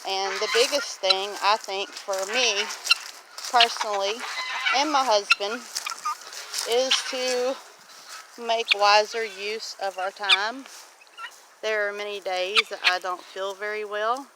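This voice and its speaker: A woman's voice: medium pitch, fairly even intonation, slow, long pauses. A woman of about fifty chatting casually as a homestead vlog host, reflective and plain-spoken. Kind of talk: casual walk-and-talk vlog. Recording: loud outdoor noise, clicks, honking geese.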